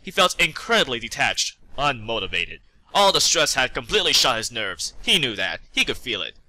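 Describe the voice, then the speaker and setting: Male reader for fan fiction narration: very bright, forceful tone, animated pitch. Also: moderate pace, rough recording. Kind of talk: dramatic read-aloud narration.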